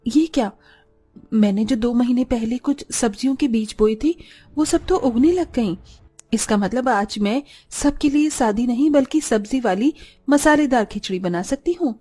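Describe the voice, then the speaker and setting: A woman playing a caring, soft-spoken young eldest daughter in a Hindi cartoon story, earnest and polite. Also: a little high, normal speed, faint music behind, natural voice. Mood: pleasantly surprised.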